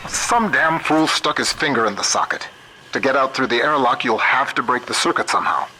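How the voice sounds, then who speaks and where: An actor playing a shrewd, principled American computer scientist in a video game, middle-aged, wry, measured and plain-spoken. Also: male voice, medium pitch, lively intonation, pushed and bright, slight background noise, rough recording. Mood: exasperated. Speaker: guide character. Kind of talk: video game voice acting, audio diaries and radio guidance.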